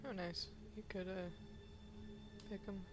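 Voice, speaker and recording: A woman's voice: mid-range voice, little pitch movement, a little slow, noisy background. A woman giving casual commentary as she plays a video game, thinking aloud. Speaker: gaming video host.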